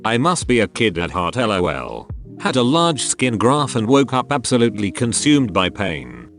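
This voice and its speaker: A man's voice: lowish voice, sing-song, normal speed, a bit bright. A man reading out forum stories as narration over background music. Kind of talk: read-aloud narration.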